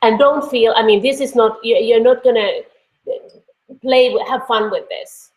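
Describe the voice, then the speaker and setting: A woman's voice: a little high, fairly even intonation, measured pace, darkish tone. Finnish woman lecturing on a video call, chatty, lots of uhs and restarts, roomy echo. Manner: conversational, many fillers and restarts. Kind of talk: online course lecture. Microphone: computer mic on a video call. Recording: echoey room.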